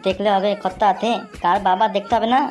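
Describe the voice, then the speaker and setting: A woman's voice: medium pitch, level tone, quick pace, background noise, natural voice. A woman voicing a cheeky, bossy, foul-mouthed little cartoon boy with a childish lisp, urging and repeating himself. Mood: cheeky, conspiratorial. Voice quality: childish lisp, swaps consonants for t and th. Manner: bossy, urgent, repetitive.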